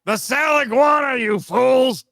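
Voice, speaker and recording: A man with a high, bright voice trades casual banter while explaining trivia. He is playfully condescending and needles his listeners. There is a creaky edge to the voice, and the sound is close and dry. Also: a little slow, dry recording. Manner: teasing, mock-condescending. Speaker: podcast guest, fan audio drama writer and voice actor.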